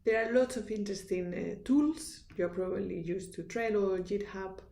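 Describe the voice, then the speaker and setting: Woman lecturer, Spanish accent, earnest and instructive, gravelly mellow voice, echoey room. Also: medium pitch, moderate pace. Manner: earnest, instructive, with restarts and fillers. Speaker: university lecturer. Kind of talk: recorded lecture.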